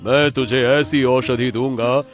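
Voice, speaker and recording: Guy doing a cartoon baba, slow and fatherly, selling miracle cures, soft music behind. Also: medium pitch, steady tone, close to an everyday voice. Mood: assured, pompous. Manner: slow, assured, fatherly address. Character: smooth-talking holy man selling miracle remedies. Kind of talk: Hindi cartoon moral story acting.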